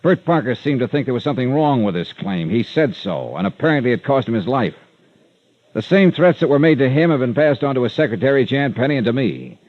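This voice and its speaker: An actor playing a tough, skeptical insurance investigator in an old radio drama, terse, dry and blunt. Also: male voice, mid-range voice, sing-song, fairly quick, no echo. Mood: angry, blunt. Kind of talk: 1940s-50s radio detective acting and narration. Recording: dull vintage radio recording.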